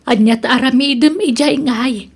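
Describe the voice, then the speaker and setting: An actress playing a homely, concerned, questioning woman in an Ilocano radio drama, with an Ilocano accent and a breathy, husky voice. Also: high voice, measured pace, exaggerated voice. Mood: worried, caring.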